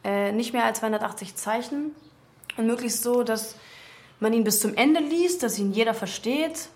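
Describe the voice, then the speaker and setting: A woman plays an outspoken woman in her twenties in a radio drama, with a high voice. She is self-assured, articulate and sharp-tongued, quick to argue her point. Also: natural voice. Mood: patient, instructive. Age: 20s. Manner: argumentative, sardonic, articulate.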